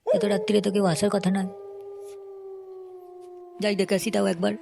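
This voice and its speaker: A woman's voice: medium pitch, little pitch movement, moderate pace, vocal fry, noisy background. A woman voicing a suspicious, threatening grown man, an angry tree owner in a comedy cartoon.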